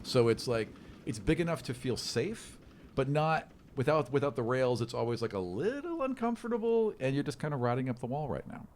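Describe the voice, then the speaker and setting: Male game master, casual and improvised storytelling, lively swings in pitch, halting delivery. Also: slight background noise. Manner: casual, halting, animated storytelling with sound imitations. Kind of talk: improvised narration of a tabletop game.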